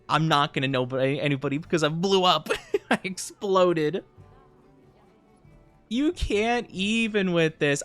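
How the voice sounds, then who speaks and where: A man's voice: on the high side, fairly bright tone, smooth, dry recording. A man streaming live, chatting casually with viewers in a playful, mock-offended way, laughing often, his voice wearing thin. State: losing his voice. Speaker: VTuber streamer.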